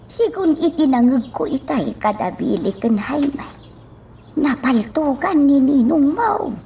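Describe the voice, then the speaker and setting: A woman's voice: high voice, expressive, unhurried, dark tone, recorded from a distance, slight background noise. A woman with an Ilocano accent acting a deferential, concerned helper in a radio drama.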